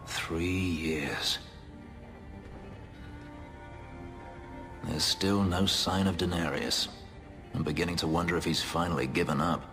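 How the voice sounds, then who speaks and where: An actor playing a brooding, guarded elf who escaped slavery, speaking in a measured, formal, wryly understated way in a video game. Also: male voice, steady tone. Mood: wary, dry. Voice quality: deep, low voice. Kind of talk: dramatic video game acting. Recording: long reverb, music behind.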